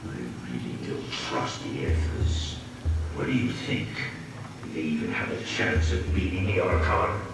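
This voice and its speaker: An actor playing a menacing, distrustful warlord in a video game, gruff and commanding. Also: male voice, low-pitched, sing-song, a little slow, full character voice. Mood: brooding, wary. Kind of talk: dramatic video game villain acting. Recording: echoey, distant, under loud music.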